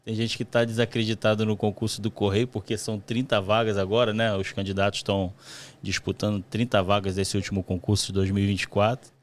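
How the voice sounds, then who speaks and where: A man's voice: on the low side, little pitch movement, brisk. A Brazilian man with a Rio de Janeiro accent, hosting a podcast and interviewing in a conversational way. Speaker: podcast host, letter carrier.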